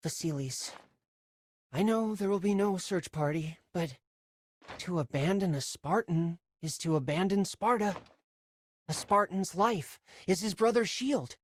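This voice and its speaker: A man's voice: high voice, a little slow. A Spartan boy, the younger brother, in a cinematic game. He is youthful, earnest and idealistic, speaking with a questioning sincerity. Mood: solemn. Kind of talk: cinematic video game acting.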